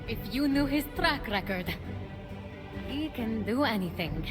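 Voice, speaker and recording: Brave young heroine, high voice, playful and spirited, video game cutscene with music. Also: female voice, animated, a little slow. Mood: excited. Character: plucky, brave young warrior heroine, teasing and upbeat. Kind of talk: animated video game acting.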